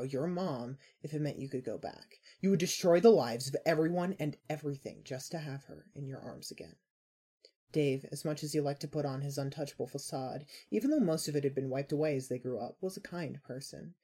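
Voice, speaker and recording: A woman reading scripted fiction narration in a measured, dramatic storytelling manner. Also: sits low, mellow, breathy edge.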